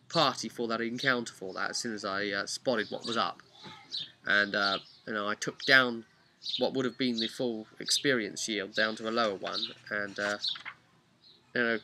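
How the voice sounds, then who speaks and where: A man giving a casual, rambling recap of a tabletop roleplay session as the game master, telling it in an animated way. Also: lowish voice, a little hiss or hum. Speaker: game master and vlog host.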